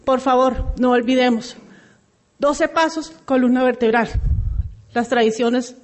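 High-voiced woman urging her group on. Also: fairly even intonation, measured pace, darkish tone. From Colombia. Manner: earnest and exhorting. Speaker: AA meeting member sharing. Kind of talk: reflection at a recovery meeting.

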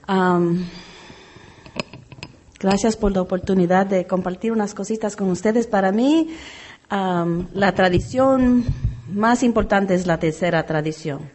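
A woman from Puerto Rico gives a personal testimony at a recovery meeting. She talks haltingly, with many fillers and restarts, at an ordinary middle pitch. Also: darkish tone. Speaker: AA meeting member sharing.

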